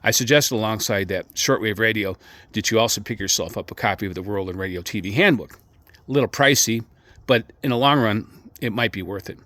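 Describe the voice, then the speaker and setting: A man hosting a radio hobby channel, giving an informal opinion monologue to viewers in an emphatic, deliberate manner with pointed pauses. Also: lowish voice, a bit bright, dry recording.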